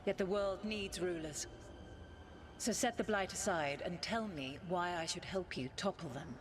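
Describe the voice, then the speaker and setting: Casting: actress as ancient elven goddess spirit, stern and haughty, lowish voice, formal game-drama delivery over clearly noisy ambience. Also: female voice, lightly acted. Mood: imperious, challenging. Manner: formal, haughty, deliberate, commanding. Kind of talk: dramatic video game acting.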